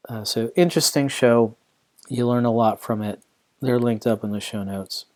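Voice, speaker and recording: A man's voice: mid-range voice, normal speed, a little mellow. Rambling man hosting a podcast. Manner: rambling, many restarts and "you know" fillers. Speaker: podcast host. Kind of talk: casual solo podcast commentary.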